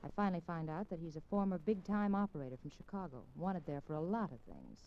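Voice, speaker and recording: An actress playing a caring, plucky young reporter in a 1950s radio crime drama, speaking in an earnest, coaxing way. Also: female voice, fairly low pitch, sing-song, quick pace. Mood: plucky, excited. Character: caring, classy young female newspaper reporter, plucky, encouraging. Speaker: radio drama actor, supporting lead. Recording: muffled, noisy vintage 1950s radio recording.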